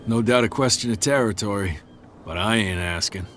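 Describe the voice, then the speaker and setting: An actor playing a wary, gruff dockside man in a video game, with a curt, folksy way of talking. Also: on the low side, normal speed, a bit bright, a little hiss or hum, close to an everyday voice.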